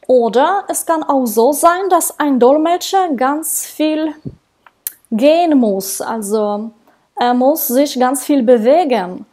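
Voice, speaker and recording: A woman in her thirties, non-native in German, talking to camera about her work as a translator in a slow, careful way with hesitations. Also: sits high. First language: not German. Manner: slow, careful speech with frequent hesitations and soft laughs. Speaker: translator and interpreter. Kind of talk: solo talk to camera about her career. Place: indoors, small room with dark backdrop. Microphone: wired earphone mic.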